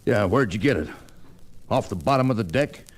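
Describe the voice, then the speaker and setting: An actor playing a suspicious, hot-tempered gambler with folksy frontier talk in an old-time radio western. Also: male voice, on the low side, lively intonation, moderate pace. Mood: angry, threatening.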